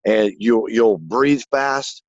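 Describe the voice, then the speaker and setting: A man in a casual spiritual conversation who rambles excitedly and swears casually. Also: lowish voice, slow pace, no room sound, lo-fi sound.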